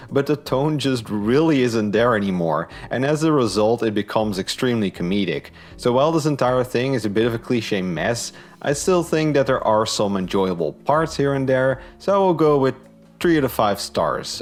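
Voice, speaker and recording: A man giving a scripted review in an informal, opinionated way. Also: mid-range voice. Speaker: book and audio drama reviewer.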